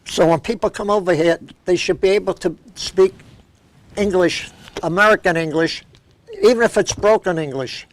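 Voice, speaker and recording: A man's voice: high voice, moderate pace, poor audio. An older man from Brooklyn with a New York accent, hosting a local cable talk show and speaking bluntly with strong opinions. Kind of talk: opinionated interview chat.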